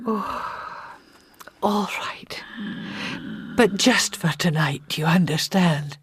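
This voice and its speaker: An actress playing an anxious, devoted older Scottish sister in a radio drama, husky, fretful and emphatic. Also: female voice, fairly low pitch, extremely slow, slight background noise. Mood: weary.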